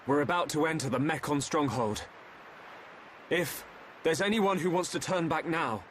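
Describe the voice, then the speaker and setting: A man's voice: a little high, moderate pace, a bit bright, slight background noise, lightly acted. An actor playing a thoughtful, determined young man in a video game cutscene.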